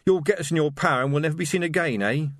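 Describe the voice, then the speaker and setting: A man's voice: a little high, rapid-fire, no echo, pitched above the performer's own voice, close to an everyday voice. A man voicing a fervent, paranoid young revolutionary who talks intensely and suspiciously, in a storybook reading.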